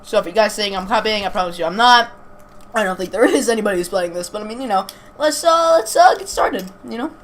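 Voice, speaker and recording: A woman's voice: medium pitch, expressive, fast. Young woman, chatty gamer, noisy recording. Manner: informal, chatty, stumbles over words while reading aloud. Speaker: gaming video host. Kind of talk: casual let's-play commentary, reading game text aloud. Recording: clearly noisy amateur home recording.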